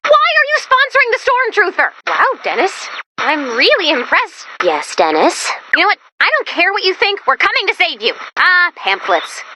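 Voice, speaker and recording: A woman voicing a quirky young female robot helper in a video game, chipper and expressive with sarcastic asides. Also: extremely high pitch, normal speed, exaggerated voice. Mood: wry, feisty. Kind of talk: animated video game character voice-over.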